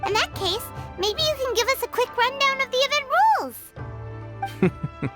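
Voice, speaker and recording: A woman's voice: very high-pitched, very animated, a little slow, music in the background, full character voice. A woman voicing a tiny, childlike fairy companion in a video game, cheeky and eager, with an exclamatory way of talking. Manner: speaks of self in third person, exclamatory. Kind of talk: video game character voice acting.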